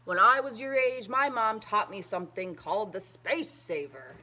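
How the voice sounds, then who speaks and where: A woman voicing a patient, instructive father in a children's storybook, explaining in a measured way with drawn-out emphasis. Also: medium pitch, pushed and bright, slight background noise, close to an everyday voice.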